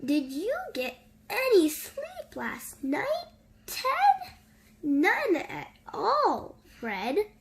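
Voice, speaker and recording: A friendly storybook dog voiced by a woman speaks in a high, childlike voice. The lines swing widely in pitch with exaggerated exclamations, made for small children. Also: a little mellow, full character voice.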